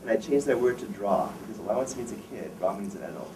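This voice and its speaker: A man's voice: fairly low pitch, lively intonation, fast, recorded from a distance. A man in his fifties giving a career lecture to students, blunt and opinionated, quizzing the audience. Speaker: wealth management firm president giving a career talk. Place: lecture room. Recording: echoey room, recorded from afar, faint noise.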